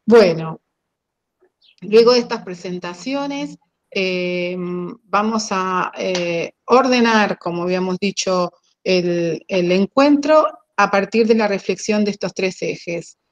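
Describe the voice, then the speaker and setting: A woman's voice: medium pitch, takes it slowly, dull audio. An Argentine woman moderating an online panel, speaking hesitantly with many fillers. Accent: Argentine Spanish. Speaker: webinar moderator.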